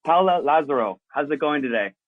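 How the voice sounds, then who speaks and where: A man's voice: a little high, expressive, a little fast, no echo. A man hosting a casual interview, chatting in an informal, joking way. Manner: informal, jokey. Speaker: interviewer, show host. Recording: muffled phone-like band, dry.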